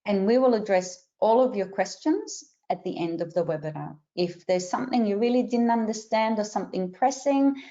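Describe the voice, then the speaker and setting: A middle-aged woman with a mixed Israeli, Australian and American accent, hosting a webinar and giving clear step-by-step instructions. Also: medium pitch, expressive, dark tone, dull audio. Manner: clear and instructive, with some filler pauses. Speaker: webinar host and career coach. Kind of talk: webinar introduction.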